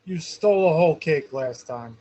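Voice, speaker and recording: A man's voice: fairly high pitch, lively intonation, normal speed, mellow, dull audio. A man playing a dutiful, put-upon security guard at a drama table read. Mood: wary, exasperated.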